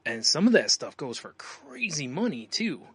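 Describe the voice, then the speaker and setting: Male podcast co-host, bright forward voice, rambling conversational delivery, very dry close recording. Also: fairly high pitch, sing-song, normal speed, husky, no room sound. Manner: rambling, conversational, often says "you know". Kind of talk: casual chat.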